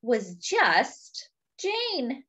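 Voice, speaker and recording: A woman reading a picture book aloud to young children and commenting on the pictures, expressively and with pauses for emphasis. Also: sits high, huge pitch swings, a bit bright, breathy edge. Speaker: children's storybook reader.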